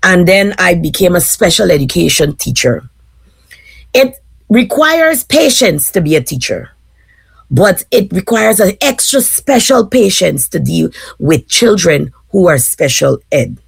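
A woman in her forties with a Guyanese accent speaks slowly and thoughtfully as a panel guest, pausing with frequent fillers. Her voice is gravelly and slightly husky, a little lower than most. The sound is dry and close. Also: very animated, dry recording. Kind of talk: casual panel discussion.

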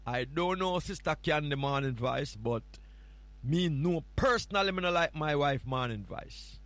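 A man's voice: on the high side, animated, a little slow, fairly bright tone. Playful Jamaican man hosting a morning show. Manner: playful, rambling, teasing. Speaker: stand-in radio show host. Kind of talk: casual morning show chat.

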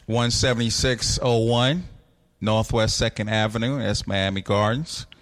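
A man's voice: fairly low pitch, steady tone, a little slow. A man who is a pastor, co-hosting a casual chat, speaking in a playful, slangy and teasing way. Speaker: pastor, co-host.

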